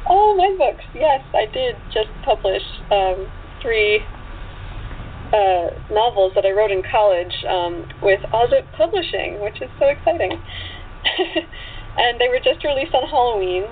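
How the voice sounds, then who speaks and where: A woman's voice: fairly high pitch, lively intonation, measured pace. A woman speaking as an author guest in a casual interview, with an enthusiastic manner. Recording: tinny phone line with background noise.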